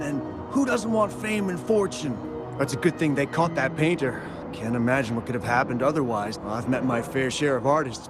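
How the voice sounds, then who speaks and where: A man's voice: medium pitch, natural voice. A man playing a shaken, chatty bystander in a video game, speaking colloquially and expressively. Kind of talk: video game character dialogue.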